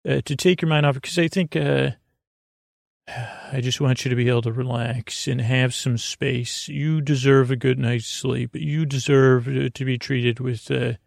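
Creaky-voiced man rambling at bedtime. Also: medium pitch, fairly even intonation, no room sound, studio quality. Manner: meandering, halting, repeats and trails off into tangents; whimsical, gently silly wordplay. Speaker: host of a bedtime sleep podcast. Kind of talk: rambling improvised bedtime monologue.

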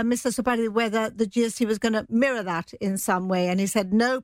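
A woman giving an unscripted news report as an editor, pausing to think as she goes. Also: mid-range voice, dry recording.

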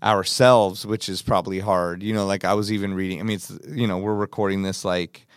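An easygoing male podcast host with a fairly low voice, recorded close and dry. He talks casually and fills his speech with quick words of agreement. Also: fairly even intonation, clean tone, no echo. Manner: easygoing, frequent fillers and agreement. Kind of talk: casual conversation.

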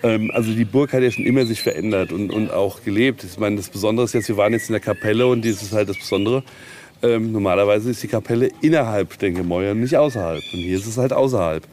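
A man's voice: fairly low pitch, fast, a little mellow. A man talking at length in an informal on-site interview, enthusiastic and rambling as he explains. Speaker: castle tenant and event organizer, interviewee. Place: outdoors at a castle ruin. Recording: outdoor field recording with faint background noise.

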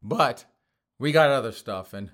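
Hoarse, sarcastic male talk host. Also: mid-range voice, animated, measured pace, fairly bright tone. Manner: sarcastic, laughs often between phrases. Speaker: podcast host. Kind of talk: casual mocking commentary.

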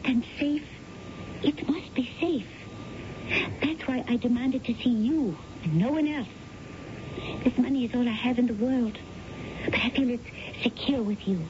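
High-voiced, gushing widow played by an actress. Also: female voice, unhurried, close to an everyday voice. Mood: earnest, insistent. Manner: gushing, breathy exclamations, theatrical. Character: effusive widowed female client, flattering and eager. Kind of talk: 1970s radio drama acting. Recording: dull, noisy old radio broadcast.

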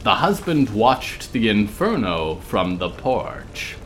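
Slow, expressive male storyteller. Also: lowish voice, a little hiss or hum. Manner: deliberate, dramatic. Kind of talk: literary fable narration with acted dialogue.

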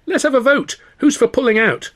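A man's voice: very high-pitched, brisk, a bit bright, pitched above the performer's own voice. Man voicing a spirited, forthright young woman. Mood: bold, shouting.

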